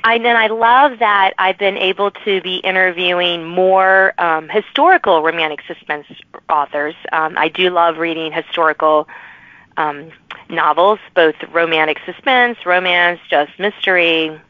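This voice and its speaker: A woman's voice: lowish voice, fairly even intonation, measured pace, dry recording. A woman hosting a podcast, chatting casually and agreeably with her guest about writing. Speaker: podcast host and author. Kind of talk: casual interview chat. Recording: dull, lo-fi remote audio.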